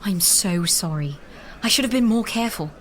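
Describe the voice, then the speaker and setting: A woman's voice: medium pitch, expressive, normal speed, slight background noise, natural voice. An actress playing a gracious, assured queen in an audio drama, speaking in a courtly, solicitous way. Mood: concerned. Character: gracious, assured queen, tender toward a young knight.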